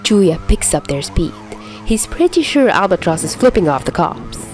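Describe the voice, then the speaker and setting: Female amateur fiction narrator, dramatic and expressive, lively intonation, faint music bed. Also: fairly low pitch, normal speed. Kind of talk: expressive scripted story narration.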